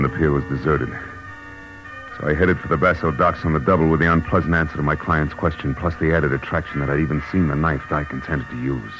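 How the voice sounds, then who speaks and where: Hard-boiled detective, very low voice, wry, slangy, old radio drama with music behind. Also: male voice, highs cut off. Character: tough, wry male private detective narrating his own case. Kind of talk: 1940s radio noir acting and narration.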